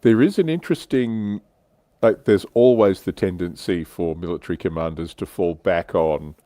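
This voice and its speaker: Low-pitched man chatting. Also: moderate pace, dark tone, no echo. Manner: explanatory, conversational. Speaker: livestream host. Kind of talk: casual discussion of military history and tabletop wargaming.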